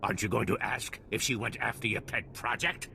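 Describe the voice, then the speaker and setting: A man's voice: medium pitch, steady tone, a little fast, creaky, sharp tone, a little hiss or hum. An actor playing a cynical, argumentative male alien scientist in a video game, with an emphatic delivery. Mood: scornful.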